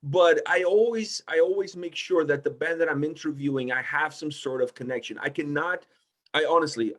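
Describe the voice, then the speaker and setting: A man with a fairly high voice talks in a casual roundtable. He is blunt and emphatic and peppers his talk with swearing. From Portugal. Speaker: music interviewer with own video channel.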